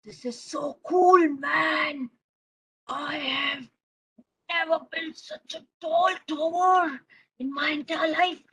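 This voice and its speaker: A woman playing an excitable, stubborn little boy in a children's story, whiny and exclaiming, repeating phrases. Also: high voice, takes it slowly, voice pushed up, heavily acted. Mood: excited.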